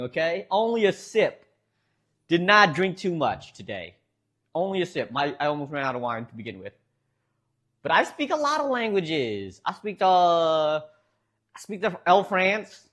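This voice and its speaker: A man's voice: fairly high pitch, a bit bright. A man with a Midwestern American accent streaming from home, rambling casually to his chat and laughing often.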